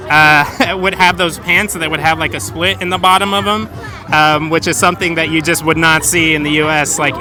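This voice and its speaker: Laid-back man in a busy street. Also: fairly high pitch. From Colorado, USA. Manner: relaxed, rambling, laughs. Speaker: interviewee. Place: busy city street, outdoors. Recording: street crowd and music behind.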